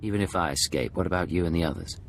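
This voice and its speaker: A man playing a cool, sardonic Imperial secret agent in scripted video game dialogue, measured and dry. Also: deep voice, gravelly, slight background noise. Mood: dry, controlled.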